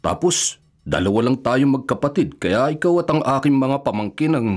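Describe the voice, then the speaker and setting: A man's voice: medium pitch, animated, normal speed, close to an everyday voice. Concerned older Filipino brother played by a man. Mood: regretful, resigned. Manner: frank, sympathetic, questioning. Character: concerned older bachelor brother living on a pension. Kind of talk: Filipino radio drama acting.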